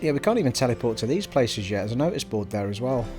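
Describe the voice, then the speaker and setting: Chatty Scottish-born streamer, English accent. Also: male voice, medium pitch, big pitch swings, fast, music in the background. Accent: generic English. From Scotland. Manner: chatty, self-deprecating, thinks out loud. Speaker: game streamer. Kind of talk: live gameplay commentary. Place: home streaming room.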